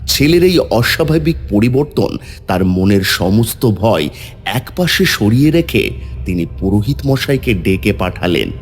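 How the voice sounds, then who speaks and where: A man's voice: lowish voice, very animated, unhurried, faint music behind. A man giving dramatic, scripted narration of a horror story.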